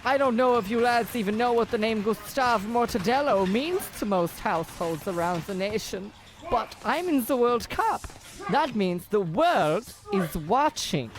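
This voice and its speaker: A man's voice: very high-pitched, big pitch swings, measured pace, slight background noise, full character voice. A man playing a naive, easily confused young Bavarian boxer with a theatrical, flowery way of talking in a comic radio play. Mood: grandiose, effusive. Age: young adult. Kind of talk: comic old-time radio play acting.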